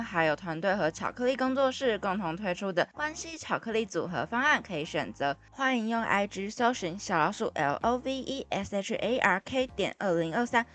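A woman's voice: mid-range voice, a bit bright. A woman with a Taiwanese Mandarin accent hosting a podcast in a casual, conversational way. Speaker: podcast host.

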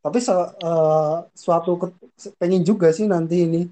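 A man's voice: a little high, steady tone, normal speed, mellow. A young man with a northern Ngapak Javanese accent, chatting casually as a podcast co-host, rambling with restarts and easy laughter.

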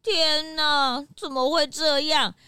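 A woman playing an excitable, sympathetic young townsperson in a children's audio drama. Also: sits high, slow, no room sound, clean studio sound, exaggerated voice. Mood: alarmed.

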